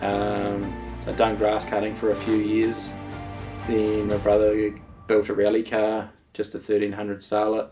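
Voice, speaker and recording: A man's voice: on the low side, monotone. A man, hesitantly recalling his path into motorsport in a documentary interview. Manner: halting, many ums, plain speech. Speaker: drift driver interviewee. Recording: distant mic with music bed. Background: guitar music.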